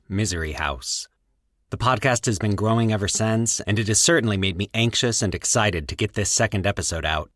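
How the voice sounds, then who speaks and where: A man hosting and narrating a fiction podcast in a deliberate, literary storytelling delivery. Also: lowish voice, fairly bright tone, no room sound, studio quality.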